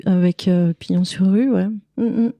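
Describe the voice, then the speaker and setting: Low, mellow, informal Frenchwoman. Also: steady tone, moderate pace, dry recording. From France. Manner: informal, slangy, candid. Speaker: podcast guest, cleaning lady and visual artist. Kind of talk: casual conversational interview.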